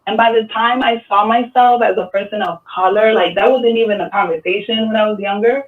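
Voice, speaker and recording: High-voiced New York man, tinny and distant. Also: fairly quick. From Dominican Republic, raised in New York City. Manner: relaxed, reflective, many fillers. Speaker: artist. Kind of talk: casual panel discussion. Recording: tinny, distant phone-like sound.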